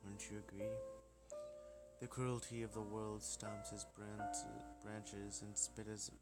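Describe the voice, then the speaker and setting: A man voicing an old, feeble flower spirit from a visual novel, speaking in slow, theatrical riddles. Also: on the low side, level tone. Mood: ominous. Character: old feeble talking flower wish, cryptic and ominous. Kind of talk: visual novel character reading. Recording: music playing behind the voice.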